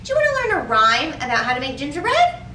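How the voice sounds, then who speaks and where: A woman's voice: high voice, wildly swooping pitch, quick pace, sharp tone. A woman hosting a library storytime, addressing young children with questions and prompts as she reads and shares rhymes. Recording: roomy echo, distant mic, faint noise.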